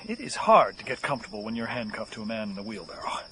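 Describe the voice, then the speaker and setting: An actor playing a weary, desperate man on the run in an audio horror drama, halting and theatrical, musing with sardonic wit. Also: fairly low pitch, big pitch swings, pushed and bright, slight background noise, low-quality recording. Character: weary desperate male fugitive, rambling, wry, unravelling.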